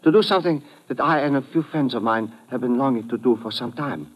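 A man's voice: medium pitch, a little fast, close to an everyday voice. Man acting a smug foreign villain, formal and clipped. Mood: smug, menacing. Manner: formal, precise, clipped wording with dropped articles. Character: scheming foreign doctor villain, smug and coldly menacing. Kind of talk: old-time radio drama acting. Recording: vintage muffled radio broadcast.

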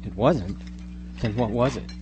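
A man's voice: lowish voice, a little slow, noisy background, lightly acted. A man playing an anxious, doubting teenage boy in a radio drama, fretful and full of pleading questions. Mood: suspicious. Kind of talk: live amateur radio drama acting.

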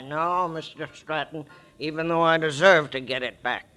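An actor playing a smooth, scheming con man who talks in a cool, quietly menacing way, on an old radio drama. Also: fairly high pitch, a little slow. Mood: sly. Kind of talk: 1940s radio acting. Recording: old radio broadcast with faint music.

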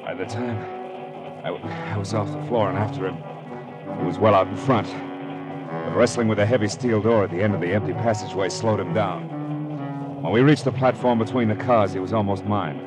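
An actor playing a terse, sardonic hard-boiled private detective, narrating in the style of 1940s radio drama. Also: male voice, fairly low pitch, moderate pace, close to an everyday voice, low-quality recording. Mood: tense, grim. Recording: old radio recording, dramatic music behind.

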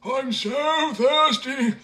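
A man voicing a parched storybook man with an endless thirst, in a high, very slow drawl. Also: pushed and bright, full character voice. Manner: drawling, pleading.

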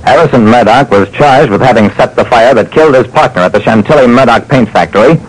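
A man's voice: medium pitch, husky, lightly acted. A man playing a hard-boiled private detective, narrating his cases dramatically in an old-time radio show. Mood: dramatic narration. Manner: dramatic, deliberate. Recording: noisy old radio recording.

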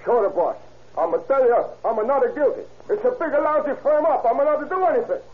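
An actor playing a hot-tempered convicted gangster, blustering in broken English in an old radio crime drama. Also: male voice, extremely high pitch, a little fast. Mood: protesting. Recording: tinny, echoey old radio recording.

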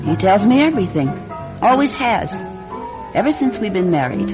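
An actress playing a hard, scheming young femme fatale in a noir radio drama, cool and scornful. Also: female voice, mid-range voice, sing-song, normal speed, lightly acted. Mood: defiant, scornful. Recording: dull old radio recording, faint music.

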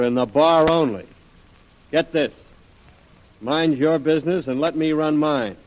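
An actor playing a wary, crooked saloon keeper in an old radio adventure, talking in clipped slang. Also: male voice, mid-range voice, darkish tone, natural voice, low-quality recording.

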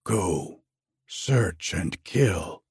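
A man's voice: sits low, lively intonation, extremely slow, darkish tone, breathy edge, no room sound, studio quality, pitched below the performer's own voice, exaggerated voice. A man voicing a grizzled, menacing old man in a storybook tale, commanding and ominous as he lays curses. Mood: grim decree.

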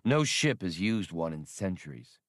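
A man voicing a portly, seasoned adventurer in an audiobook, steady and gruffly matter-of-fact. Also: low voice, normal speed, no echo, studio quality. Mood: grim recounting.

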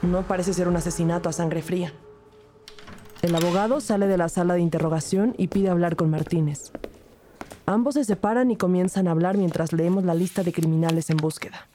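A woman's voice: lowish voice, fairly even intonation, a little fast, mellow, slight background noise, natural voice. A woman playing a determined police detective in an audio crime drama, with scripted narration and probing questions. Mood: focused narration. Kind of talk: scripted first-person narration and interrogation.

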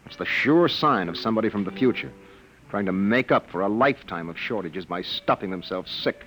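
Low-voiced, wary, protective husband. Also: male voice, animated, fairly quick, a bit bright, soft background music, poor audio. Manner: soothing but tense, earnest. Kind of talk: 1950s radio drama acting. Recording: vintage radio recording.

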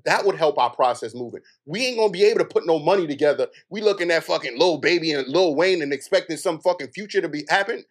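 A man's voice: fairly high pitch, expressive, quick pace, hardly any pauses. A man with an American accent debating bluntly in a group, swearing freely. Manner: blunt, profane. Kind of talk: heated panel debate.

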